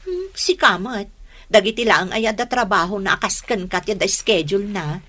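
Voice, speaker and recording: A woman's voice: medium pitch, animated, normal speed, a bit bright. An actress playing a spoiled, vain young girlfriend in a radio drama, sugary and pouty, coaxing with endearments in an Ilocano accent. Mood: sweetly demanding. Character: spoiled, vain young girlfriend who sweetly wheedles and demands.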